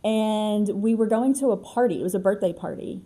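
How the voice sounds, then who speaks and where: American woman, mellow and casual. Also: mid-range voice, normal speed. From United States. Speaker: co-host. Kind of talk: casual chat between friends.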